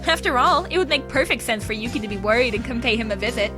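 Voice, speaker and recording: A woman's voice: on the high side, expressive, quick pace, natural voice. A woman playing a bossy, opinionated schoolgirl club leader in an anime-style audio drama, speaking assertively. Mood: decisive, eager. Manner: assertive, declarative. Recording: music behind the voice.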